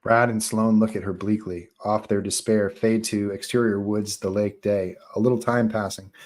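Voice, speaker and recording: A man narrates a film script at a live table read, delivering scene headings and stage directions. His voice is fairly low. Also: little pitch movement, normal speed, darkish tone.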